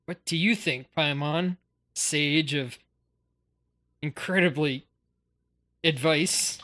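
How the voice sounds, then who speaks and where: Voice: mid-range voice, takes it slowly, pushed and bright. A game streamer reading on-screen text aloud and adding casual, wry commentary on a close mic.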